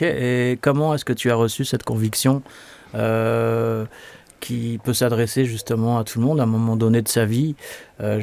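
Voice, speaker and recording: A man's voice: medium pitch, a little mellow. A man hosting a faith interview show, asking questions in a friendly way with many fillers. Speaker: host.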